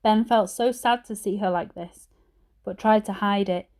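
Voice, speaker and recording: A woman's voice: medium pitch, steady tone, moderate pace, a little mellow. A woman narrating a children's storybook expressively, like a teacher reading aloud.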